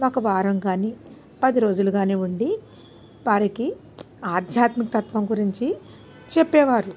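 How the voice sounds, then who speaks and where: A woman's voice: mid-range voice, measured pace, a little mellow, soft background music. A woman narrating a scripted moral tale for children as an audiobook storyteller.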